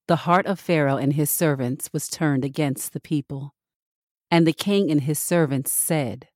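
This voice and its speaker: A woman reading scripture as scripted narration for a Bible podcast, measured and expressive with the dialogue. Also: deep voice, no echo, clean studio sound.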